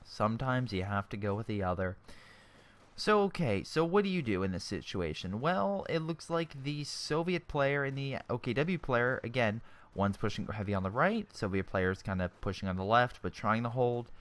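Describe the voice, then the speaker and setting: A man's voice: mid-range voice, animated, moderate pace. A young man with a sore throat giving casual, chatty commentary on a strategy game replay, often correcting himself mid-sentence and airing blunt opinions.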